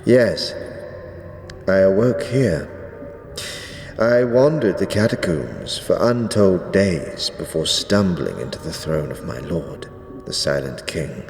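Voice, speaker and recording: A man playing an ancient, zealous skeleton high priest in a fantasy audio drama, speaking gravely in archaic, ceremonious phrases. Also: lively intonation, darkish tone, faint music behind, exaggerated voice. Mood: solemn, proud. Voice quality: deep, drawn-out delivery. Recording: echoey reverberant hall, faint noise.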